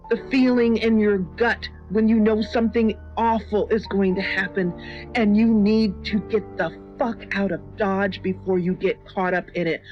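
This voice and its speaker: A woman reading a scripted first-person horror story in a measured, dramatic, suspenseful manner. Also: medium pitch. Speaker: story reader. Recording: dull lo-fi audio with music.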